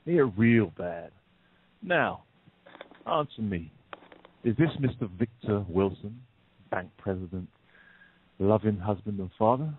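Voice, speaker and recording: A man's voice: fairly low pitch, animated, measured pace, pauses often, breathy edge. A man playing a smug, menacing bank robber in an audio play, speaking with taunting, mock-polite deliberation. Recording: muffled narrow phone-like sound.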